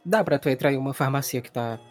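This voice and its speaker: A man's voice: mid-range voice, fast talker, soft background music. A man acting as game master, describing scenes in a casual, conversational, explanatory way.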